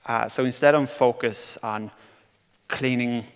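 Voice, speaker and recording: Creaky young Swedish man, lots of uhs. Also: mid-range voice, fairly even intonation, a little slow. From southern Sweden. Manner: hesitant, many filler sounds. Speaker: entrepreneur, panel guest. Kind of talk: panel talk on stage. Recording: reverberant conference hall.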